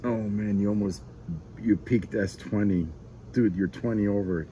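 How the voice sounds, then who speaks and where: A man's voice: fairly low pitch, steady tone, measured pace, mellow, slight background noise, rough recording. A man talking casually on ham radio in an admiring, slangy way. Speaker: amateur radio operator.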